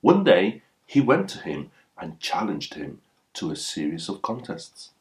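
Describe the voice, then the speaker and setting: A man's voice: deep voice, very animated, unhurried, low-quality recording. A man reading a folktale aloud as a scripted storyteller.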